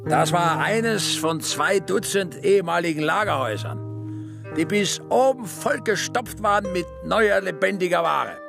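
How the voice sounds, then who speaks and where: An actor narrating grim prison camp life in a serious, emphatic literary radio drama style. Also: male voice, high-pitched, animated, a little slow, vocal fry, over music, full character voice. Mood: grave.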